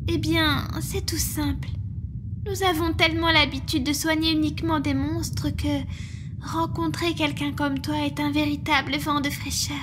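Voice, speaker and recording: A woman voicing a protective, devoted monster medic in a roleplay ASMR, speaking in a soft, attentive, earnest way. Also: high-pitched, level tone, normal speed, noisy background, natural voice. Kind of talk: French roleplay ASMR, intimate acting.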